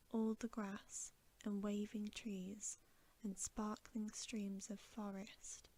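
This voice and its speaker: A woman reading a bedtime story as ASMR narration in a soft, breathy whisper. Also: mid-range voice. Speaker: ASMR reader.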